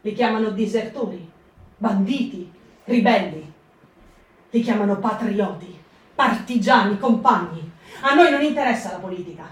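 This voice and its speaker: A woman performing a dramatic reading for radio with a slow, measured, grave delivery. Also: medium pitch. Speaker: actor in a staged reading. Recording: echoey stage reading from far off.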